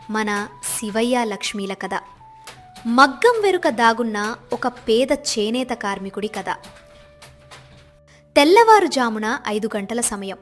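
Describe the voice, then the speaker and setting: A woman narrates a scripted moral tale in a dramatic storytelling style. Her voice sits fairly high, and light music plays behind it.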